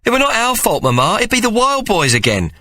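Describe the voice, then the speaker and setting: A man voicing a scrappy small boy who talks indignantly in rough street grammar. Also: high voice, wildly swooping pitch, a little fast, sharp tone, dry recording, clean studio sound, voice pushed up, exaggerated voice.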